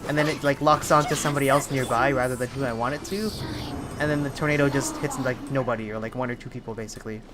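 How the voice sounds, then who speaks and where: A live streamer with a mid-pitched voice talks casually over clear game music. The delivery is halting, like someone thinking aloud while playing. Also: steady tone. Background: video game music.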